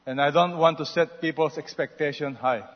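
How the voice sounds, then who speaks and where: A man's voice: fairly high pitch, steady tone, moderate pace. A male pastor with a slightly raised voice preaches emphatically, urging his congregation on. His voice carries through a long hall reverb on a somewhat dull recording. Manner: emphatic, exhorting. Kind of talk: sermon.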